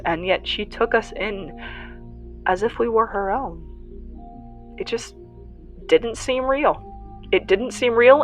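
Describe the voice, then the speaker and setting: A woman playing an earnest young survivor who hosts a radio show in an audio drama, confiding reflectively in listeners with halting pauses. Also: on the high side. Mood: emotional, moved. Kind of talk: first-person audio drama monologue, podcast-style confiding.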